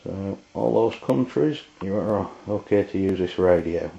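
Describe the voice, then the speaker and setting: Low-voiced man, dull lo-fi sound. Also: creaky, warm tone. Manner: halting, hesitant delivery. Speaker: product reviewer. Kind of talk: casual unscripted review. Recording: dull lo-fi amateur video sound.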